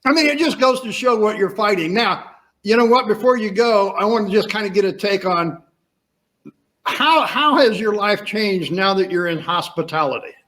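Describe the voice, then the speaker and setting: A man's voice: high voice, animated, normal speed, a bit bright. A man hosting a podcast over a video call, talking emphatically with rhetorical questions. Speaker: podcast host. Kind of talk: casual interview chat.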